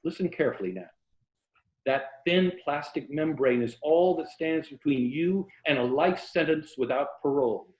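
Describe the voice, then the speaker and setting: A man's voice: on the high side, expressive, lightly acted. Man playing a theatrical worldly schemer, middle-aged, florid, declamatory, novel character, roomy muffled call audio. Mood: sly, lecturing. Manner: florid, declamatory, drawn-out emphasis.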